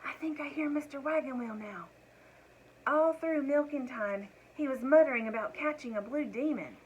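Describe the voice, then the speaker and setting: A woman's voice: sits high, normal speed, husky, voice pushed up, rough recording. A woman voicing kindly farm cows who talk in a helpful, conspiratorial way. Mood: hushed warning.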